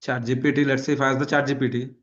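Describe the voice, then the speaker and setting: A man's voice: mid-range voice, level tone, racing, lo-fi sound. A man in his thirties with a South Indian accent and Kannada as his native language, teaching an online class with repetition and frequent check-in questions. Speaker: instructor. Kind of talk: live online lecture with screen demonstration.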